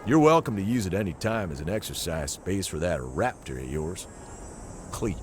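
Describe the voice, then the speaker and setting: An actor playing a folksy, friendly frontier rancher in a video game, gravelly and expressive, reading out a neighborly letter. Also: male voice, deep voice, normal speed, faint music behind. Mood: warm, helpful.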